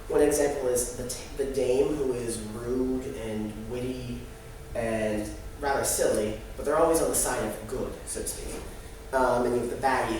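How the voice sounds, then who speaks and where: A young adult man giving a halting, explanatory student presentation live in a room. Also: medium pitch, a little mellow. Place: echoey indoor room. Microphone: distant microphone. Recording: very echoey room, distant mic, noisy.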